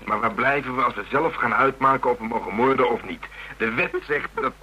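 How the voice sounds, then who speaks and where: An actor playing a gruff, sardonic policeman in a radio drama, talking colloquially. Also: mid-range voice, animated, fairly quick, sharp tone. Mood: firm, stubborn. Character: gruff, grudging Amsterdam policeman nicknamed the Dragon, shaken, sardonic. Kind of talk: Dutch radio drama dialogue. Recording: hummy, noisy old radio recording.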